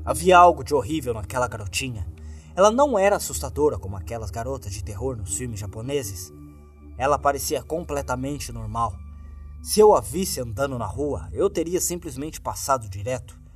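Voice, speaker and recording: A man's voice: mid-range voice, lively intonation, moderate pace. A man with a Brazilian accent reading a scripted horror story as narrator, in a grave and dramatic manner. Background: background music.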